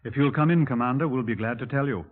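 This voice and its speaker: Actor as deadpan police sergeant, tinny radio sound. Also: male voice, mid-range voice, fast talker, close to an everyday voice. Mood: brisk, formal. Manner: formal, matter-of-fact, deadpan. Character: brisk, deadpan Scotland Yard sergeant. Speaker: detective and narrator. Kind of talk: 1950s radio drama acting. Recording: thin, band-limited 1950s radio recording.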